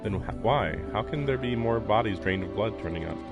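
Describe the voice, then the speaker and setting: A man's voice: lowish voice, animated, a little fast. A man reading a visual novel aloud as a streamer, dropping in casual, wry asides. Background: music.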